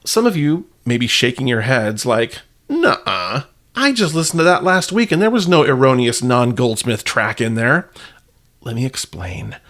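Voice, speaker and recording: A man's voice: medium pitch, expressive, normal speed, a bit bright. A man hosting a podcast with casual, partly scripted commentary in a playful, self-deprecating manner. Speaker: podcast host.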